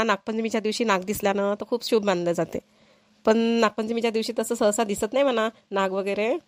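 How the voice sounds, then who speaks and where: A woman's voice: mid-range voice, no echo, close to an everyday voice. A woman voicing an older, traditional, instructive village mother-in-law in a cartoon, with a rural Vidarbha Marathi accent. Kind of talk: amateur cartoon comedy-drama dubbing.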